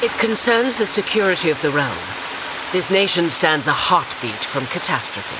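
A woman's voice: fairly low pitch, very animated, background noise. A grave Victorian lady in a period radio play delivers ominous warnings in formal, portentous phrases. Her voice swoops expressively, with heavy rain and street ambience around her. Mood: grave, foreboding. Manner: formal, portentous, deliberate wording. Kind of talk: period audio drama acting. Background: rain and passing vehicle sounds.

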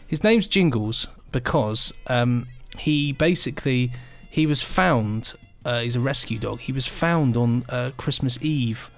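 A man's voice: medium pitch, a little slow, gravelly, hardly any pauses, faint music behind. A British man co-hosting a podcast in casual chat, playful and hesitant, often repeating words as he goes. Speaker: podcast co-host.

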